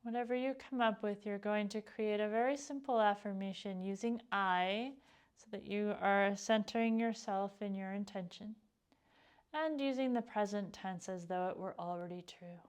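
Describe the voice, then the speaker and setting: Female meditation teacher, smooth resonant mid-range voice, gentle unhurried guidance with calm pauses. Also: mid-range voice. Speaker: yoga nidra meditation teacher. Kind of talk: guided meditation instruction.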